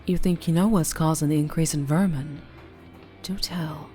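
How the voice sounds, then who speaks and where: A woman with a Southern US accent playing a flirtatious, troubled, protective vampire in an intimate ASMR roleplay, speaking softly and haltingly. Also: low voice, fairly even intonation, lightly acted. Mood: puzzled, probing. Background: music.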